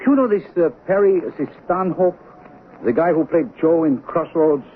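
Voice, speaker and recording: A man playing a colloquial, chatty working man in a radio drama, excitable, coaxing and incredulous. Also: a little high, moderate pace, close to an everyday voice. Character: chatty, incredulous working-class husband Carlos. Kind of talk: 1970s radio drama acting. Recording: tinny, noisy 1970s radio broadcast.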